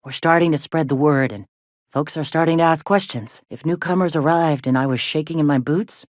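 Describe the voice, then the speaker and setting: Woman acting a gruff frontier rebel medic, close and dry. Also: low-pitched, a little fast, a little mellow, no echo, natural voice. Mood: weary, candid. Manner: folksy, blunt, drawling slang with swearing. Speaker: video game character, medic and deputy leader. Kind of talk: video game dialogue.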